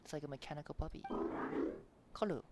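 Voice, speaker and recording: A man's voice: fairly high pitch, quick pace, heavy background noise. A man giving casual live commentary on a game playthrough, reacting and answering the characters aloud. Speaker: game streamer. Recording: loud game music behind voice.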